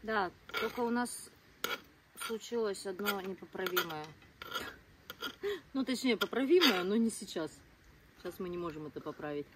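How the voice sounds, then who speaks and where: A woman's voice: mid-range voice, expressive, moderate pace, pauses often, far from the mic, very noisy. A woman in her thirties chatting playfully and teasing at an outdoor riverside campsite, recorded from the camera. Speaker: vlogger. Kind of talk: casual chat.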